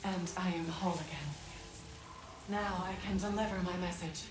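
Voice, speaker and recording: An actress playing a dutiful ghost messenger in a video game, earnest and halting, echoing over background noise. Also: female voice, on the low side, fairly even intonation, over music, lightly acted. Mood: resigned, recounting. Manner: halting, urgent. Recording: echoey, noisy ambient game scene.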